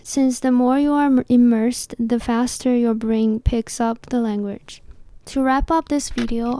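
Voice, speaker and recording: A young woman narrates a scripted voice-over in a fairly high, mellow voice. Her delivery is measured and very even, with little rise or fall, as if reading from prepared notes. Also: no room sound. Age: 20s. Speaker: video host.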